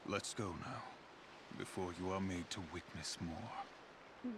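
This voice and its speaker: An actor voicing a grave, menacing time-guardian villain in a video game, speaking in formal, archaic phrasing. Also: male voice, low voice, slow pace, noisy background. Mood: grave, solemn. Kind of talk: video game cutscene acting. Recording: loud game music behind.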